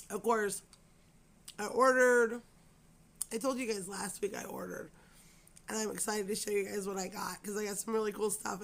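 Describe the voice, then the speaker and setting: A woman hoarse from a cold, her voice raspy and scratchy. She talks to her viewers at home in a loose, rambling way, full of fillers and apologies. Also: medium pitch. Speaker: crochet channel host. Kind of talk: casual chat to camera.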